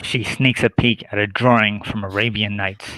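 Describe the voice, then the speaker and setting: A man's voice: fairly low pitch, steady tone, moderate pace, pushed and bright, no room sound, low-quality recording. A man reading scripted stage directions as narrator of a comic radio play.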